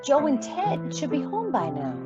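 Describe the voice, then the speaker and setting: A woman playing a gentle, practical, reassuring foster mother in a radio play. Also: high-pitched, wildly swooping pitch, moderate pace, highs cut off, loud music behind the voice. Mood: concerned.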